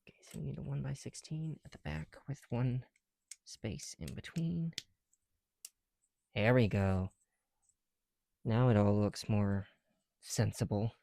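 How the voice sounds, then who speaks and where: A man's voice: medium pitch, measured pace, vocal fry, pauses often, mellow, no room sound. A man making a casual ASMR video, chatting in a self-deprecating way with small asides as they go. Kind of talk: ASMR, casual chat while building bricks. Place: on the bedroom floor at night.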